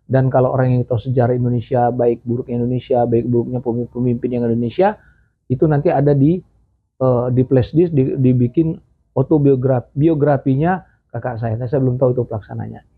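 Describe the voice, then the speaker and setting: A man's voice: medium pitch, steady tone, a little fast, breathy edge. A man hosting a casual political commentary show, rambling with many fillers and a slight cough. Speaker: host. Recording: tinny phone-like audio.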